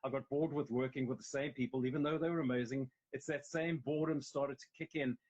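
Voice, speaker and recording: A middle-aged South African man talks candidly over a video call, his story wandering and doubling back. His voice sits at an ordinary pitch. The sound is a touch dull and lo-fi. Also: steady tone, quick pace, darkish tone. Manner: candid, rambling storytelling with restarts. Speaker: guest actor. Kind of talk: casual interview talk.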